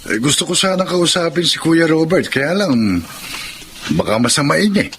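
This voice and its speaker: An actor playing a concerned, hesitant husband with a measured delivery in a Tagalog radio drama. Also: male voice, a little high, lively intonation, fairly bright tone, a little hiss or hum. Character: concerned, hesitant husband reluctantly revealing a secret.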